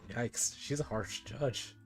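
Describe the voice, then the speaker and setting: Unhurried game streamer, casual delivery. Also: mid-range voice, vocal fry, music in the background. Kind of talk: casual live game commentary, reading dialogue aloud.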